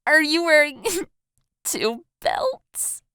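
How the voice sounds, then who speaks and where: A woman's voice: sits high, sing-song, drawn-out words, bright, forceful tone, dry recording, clean studio sound, pitched above the performer's own voice, exaggerated voice. A woman voicing an amused, teasing teenage schoolgirl in a dramatized audio story, gasping and breaking into giggles as she talks. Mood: hysterical amusement.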